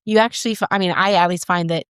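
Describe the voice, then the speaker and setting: A woman podcast host with a slightly low voice. She speaks in a casual, conversational interviewing style, recorded close and dry with no room sound. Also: fairly even intonation, fast, a bit bright, clean tone, dry recording.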